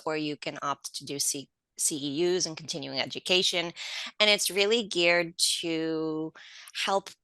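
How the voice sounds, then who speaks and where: A middle-aged woman from Poland, whose first language is Polish, answering interview questions in a measured, articulate way. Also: low voice, pushed and bright, no room sound. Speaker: patient advocate guest.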